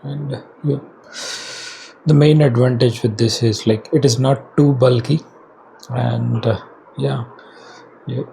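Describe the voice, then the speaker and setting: A man with an Indian accent giving casual unboxing and installation commentary as a product reviewer, halting and hesitant as he thinks aloud. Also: medium pitch, fairly even intonation, dark tone. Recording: clearly noisy background ambience.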